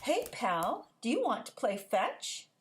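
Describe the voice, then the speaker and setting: A woman's voice: a little high, huge pitch swings, takes it slowly, exaggerated voice. A woman voicing a friendly man who talks fondly to his pet dog in a picture book, coaxing and reassuring. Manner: coaxing, reassuring, gently explaining.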